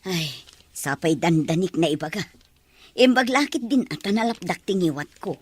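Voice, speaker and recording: A woman's voice: lowish voice, expressive, moderate pace, a little hiss or hum, lightly acted. A woman with an Ilocano accent playing a chatty, obliging middle-aged mother in a radio drama.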